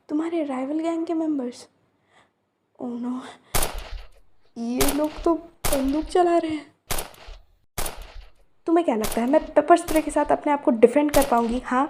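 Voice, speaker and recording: A woman's voice: high-pitched, a little mellow, slight background noise. A young woman acting out a romance roleplay to a silent listener, teasing and chatty.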